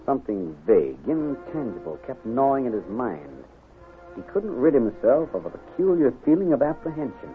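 A man narrating an old-time radio western in a measured, dramatic, suspenseful style. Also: mid-range voice, very animated, lightly acted. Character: dramatic, suspenseful male storyteller of western adventure. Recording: tinny old radio with music behind.

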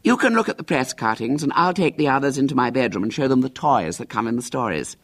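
Male reader playing a smug, boastful child celebrity; haughty, lively delivery. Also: medium pitch, fast, husky, pitched above the performer's own voice. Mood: imperious. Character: conceited famous young boy, pompous and self-important.

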